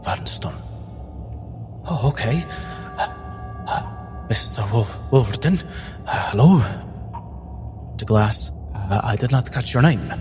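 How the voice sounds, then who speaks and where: A nervous nobleman played by a man welcomes guests with halting, formal courtesy. His voice carries long hall reverb, and loud music plays behind him in this tabletop roleplay. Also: mid-range voice, animated. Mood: flustered, welcoming. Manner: hesitant, halting, formal politeness.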